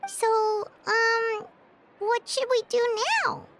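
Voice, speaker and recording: A woman voicing a small, excitable, loyal fairy companion in a video game, with a squeaky childlike voice. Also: extremely slow, noisy background, exaggerated voice. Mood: worried, unsure. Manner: refers to self in third person, exclamatory.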